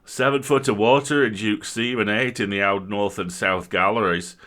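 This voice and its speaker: A man voicing a huge, blunt coal miner in a story reading, growling and forceful. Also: lowish voice, fairly even intonation, moderate pace. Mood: grim.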